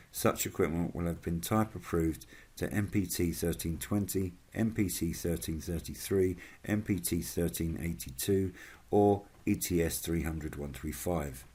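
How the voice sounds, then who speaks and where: A man with a husky voice reading scripted informational narration in a formal manner, as a video presenter. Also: sits low, darkish tone.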